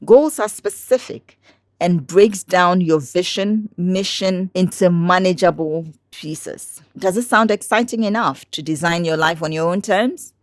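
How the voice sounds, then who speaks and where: Low-voiced woman narrating motivation. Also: measured pace, no room sound, studio quality. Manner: encouraging, addresses listener directly.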